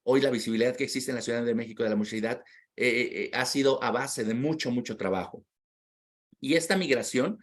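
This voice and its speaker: A man as an interview guest, telling a personal story in a heartfelt, reflective way and often repeating words while he searches for them. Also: medium pitch.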